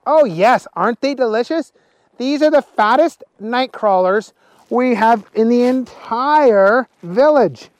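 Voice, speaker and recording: A man's voice: very high-pitched, lively intonation, slow, dry recording, voice pushed up, exaggerated voice. A man voicing a cheerful, eager non-human villager in a children's story, coaxing and full of relish. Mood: eager, proud. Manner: gleeful, enthusiastic, coaxing.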